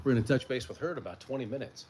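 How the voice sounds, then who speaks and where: A man co-hosting a live morning TV show from the studio, reading news items and trading dry, deadpan comebacks. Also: mid-range voice, racing, slightly rough. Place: TV studio during a live broadcast.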